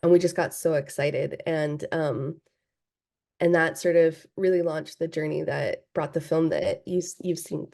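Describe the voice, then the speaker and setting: A woman's voice: low voice, steady tone, measured pace, gravelly, darkish tone. A woman from Hawaii, a film director answering interview questions thoughtfully, with frequent ums and restarts.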